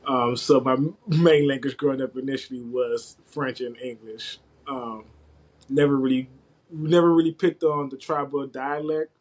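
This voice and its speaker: A young man with a medium-pitched voice talks casually in an interview. He is informal and wanders a little, often restarting a thought as he explains himself. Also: steady tone, normal speed. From West Africa (Liberia), later the US from age eleven. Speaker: podcast guest, IT systems administrator.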